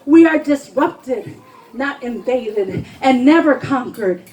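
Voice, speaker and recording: A woman's voice: high-pitched, expressive, takes it slowly, a little mellow, rough recording. A woman poet giving an impassioned, emphatic spoken word performance at a live reading, declaiming her lines. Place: live poetry reading before a responsive audience.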